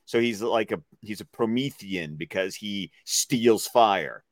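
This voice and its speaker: A man co-hosting a casual discussion show, explaining references in an enthusiastic, nerdy, halting way. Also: fairly low pitch, no echo. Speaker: co-host of animated series discussion show.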